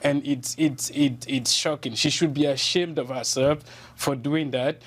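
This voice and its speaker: A man's voice: mid-range voice, steady tone, unhurried. A man from Cameroon with a Cameroonian French accent, a journalist answering questions in a TV interview in an earnest, indignant way. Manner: earnest, indignant, with fillers and repeated starts. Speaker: journalist guest.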